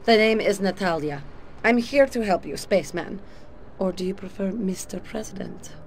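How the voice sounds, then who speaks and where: A woman's voice: mid-range voice, normal speed, lightly acted. A sultry, cool-headed female KGB agent speaks with a Russian accent, voiced by an actress. She talks in a dry, self-assured way, with a lethal edge. Her video game cutscene plays over a faint ambient hum. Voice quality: cool, confident, dry delivery.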